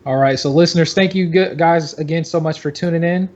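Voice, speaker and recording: A man's voice: on the high side, fairly quick, a little mellow, dull audio. A man co-hosting a fantasy basketball podcast in casual banter, rambling and emphatic, often repeating himself for effect. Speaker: podcast co-host.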